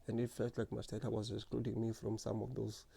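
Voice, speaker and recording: Guy who stutters, gravelly low voice, kinda flat, thinking back on school. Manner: stutters, with repeated words and restarts; slow, earnest, reflective. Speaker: speaker sharing lived experience. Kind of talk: personal reflective monologue.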